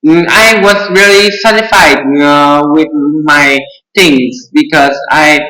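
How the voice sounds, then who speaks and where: Hesitant teen with a Vietnamese accent. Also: male voice, on the high side, steady tone, sharp tone, echoey room, low-quality recording. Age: teens. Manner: hesitant, many fillers and pauses. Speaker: test candidate. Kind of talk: mock English speaking exam.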